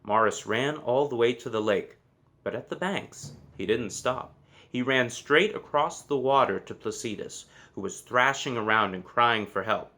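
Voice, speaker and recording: A man's voice: medium pitch, measured pace, sharp tone. Man reading a children's story aloud. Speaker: storybook reader.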